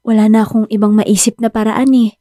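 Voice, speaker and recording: Nervous teenage girl for radio drama, acted by a woman: hesitant, mellow, fairly high, frightened. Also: level tone, fairly quick, dry recording, studio quality, natural voice. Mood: anxious, resigned. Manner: hesitant, timid. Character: nervous, frightened eighteen-year-old pregnant girl.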